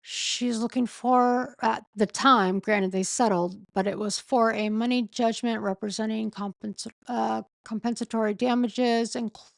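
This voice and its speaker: A woman losing her voice reads slowly through a legal document, pausing and correcting herself as she goes. Her worn throat gives the voice a gravelly fry. The sound is close and dry, with no room around it. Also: on the high side, fairly even intonation, dry recording. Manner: slow, halting reading with pauses and self-corrections. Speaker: streamer reading a court filing. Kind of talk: casual late-night live stream.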